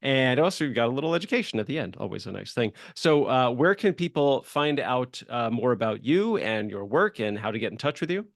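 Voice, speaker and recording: A man's voice: mid-range voice, lively intonation, brisk, hardly any pauses, no room sound, studio quality. A man hosting a podcast interview in a casual, conversational style, rambling a little and affirming as he goes. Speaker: podcast host and screenwriter.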